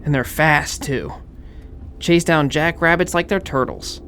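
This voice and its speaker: A man's voice: on the high side, unhurried, fairly bright tone, faint music behind. A man playing an eager, slightly dorky young fraternity pledge, earnestly telling a spooky legend in an audio drama. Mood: eager, ominous. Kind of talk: acted campfire-style legend telling in an audio horror drama.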